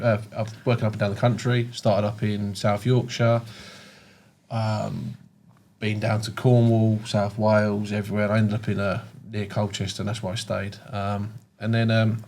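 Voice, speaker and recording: Chatty low-voiced British man. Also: a little mellow. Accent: British English. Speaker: guest, project manager. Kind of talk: casual podcast chat.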